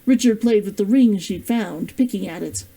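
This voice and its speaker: A woman reading her own novel aloud in an expressive storytelling style. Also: mid-range voice, moderate pace, slightly rough, poor audio. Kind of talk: expressive audiobook-style narration.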